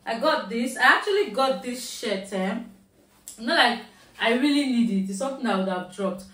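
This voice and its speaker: A woman's voice: a little high, animated, normal speed, fairly bright tone. Nigerian woman, Yoruba accent, casual haul-vlog chat, recorded in an echoey room from a distance. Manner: chatty, mixing in Nigerian Pidgin phrases. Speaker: shopping haul vlogger. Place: at home, indoors. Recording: roomy, mic at a distance.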